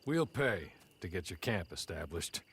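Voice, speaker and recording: An actor playing a deferential, loyal manservant in a Western video game, formal and courteous in his measured speech. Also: low-pitched, big pitch swings, a bit bright, slight background noise, heavily acted. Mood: courteous, dry. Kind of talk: video game cutscene acting.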